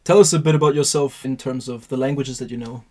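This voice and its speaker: A man hosting a podcast interview, asking questions in a measured, deliberate way. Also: mid-range voice, fairly even intonation, a little mellow. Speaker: podcast host.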